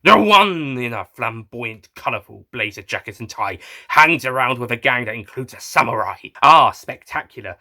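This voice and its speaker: Guy doing sarky fugitive dude in a story, slangy and brash, bright loud voice. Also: mid-range voice, animated, moderate pace, hardly any pauses, no echo. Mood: sarcastic. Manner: slangy, sarcastic. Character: brash, sarcastic male fugitive.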